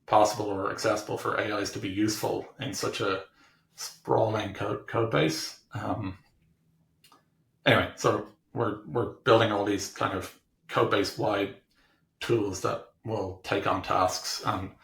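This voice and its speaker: A man answers interview questions in a low voice with a strong gravelly creak. He explains casually and haltingly, restarting and thinking aloud. The room around him rings with noticeable echo. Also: steady tone, a bit bright. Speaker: technology executive being interviewed. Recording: roomy, echoing room sound.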